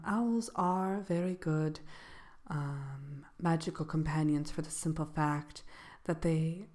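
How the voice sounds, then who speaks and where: A woman playing a composed dark sorceress who gives a soft-spoken ASMR seminar in a hesitant, measured, genteel manner. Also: sits low. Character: composed dark sorceress lecturing on magical animal companions. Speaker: seminar presenter.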